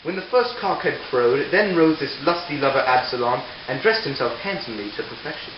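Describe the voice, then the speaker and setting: A man's voice: medium pitch, expressive, brisk, over music. A man narrating an amateur puppet show as a scripted storyteller. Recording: very echoey, distant, dull, noisy.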